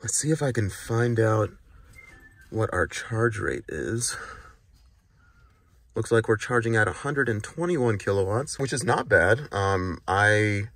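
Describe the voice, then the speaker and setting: A man's voice: lowish voice, expressive, a little slow, a bit bright, no room sound. A man in his thirties talking casually to viewers as a car vlogger, explaining things in a conversational way. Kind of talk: casual vlog narration to viewers. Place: inside a moving car, later outdoors at a charging station. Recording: noisy handheld recording with vehicle or street noise.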